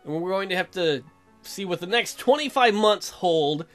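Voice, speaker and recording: Animated man joking over game music. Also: high-pitched, moderate pace. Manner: irreverent, crude, jokey. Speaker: let's play host. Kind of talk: joking, casual game commentary.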